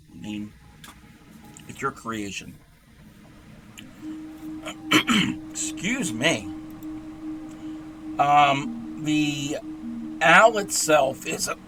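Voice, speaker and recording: A hoarse man with a very bright, sharp voice talks through a crochet project on a livestream. He rambles and makes little jokes at his own expense, and his scratchy voice keeps catching. A faint noise sits under the recording. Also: a little high, sing-song, very slow, pauses often. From Texas. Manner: chatty and rambling, self-deprecating jokes. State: hoarse, scratchy voice with frequent throat clearing. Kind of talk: casual craft tutorial while livestreaming.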